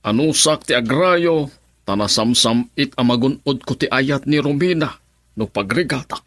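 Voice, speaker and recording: A man acts out an older Ilocano suitor in a radio drama, lovestruck and determined. His voice has an average pitch and is recorded dry and close. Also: moderate pace, fairly bright tone, no echo, natural voice.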